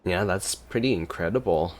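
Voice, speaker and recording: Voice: low voice, moderate pace. A chatty, reactive streamer doing a casual gameplay reaction and reading along aloud.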